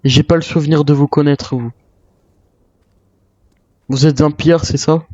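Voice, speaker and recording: Man, mellow voice, wary survivor role-play, video game, muffled roomy recording. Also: on the high side, steady tone, a little slow. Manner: speaks in character as a wary survivor. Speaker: player role-playing a zombie apocalypse survivor. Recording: muffled lo-fi mic, roomy echo.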